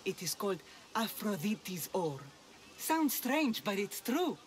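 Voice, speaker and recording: An actress playing a young, self-doubting blacksmith's daughter in a video game, wry and hesitant. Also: female voice, medium pitch, sing-song, slow. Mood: doubtful. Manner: wry, hesitant, grumbling, sighing. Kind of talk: video game cutscene acting.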